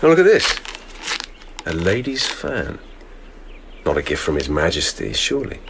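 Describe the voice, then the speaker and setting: A very low-pitched male investigator, blunt and insistent. His delivery swings widely in pitch as he probes, in radio drama style, with faint ambient noise behind him. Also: wildly swooping pitch, creaky, exaggerated voice. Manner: brisk, insistent questioning. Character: blunt, probing male lieutenant investigating a palace murder.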